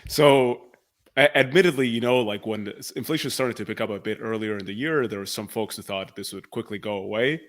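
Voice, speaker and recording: A man's voice: on the low side, a little fast. A man from Argentina speaking as an expert guest, explaining things informally in a casual interview. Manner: informal, explanatory, peppered with "like" and "right?". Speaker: economics professor, podcast guest.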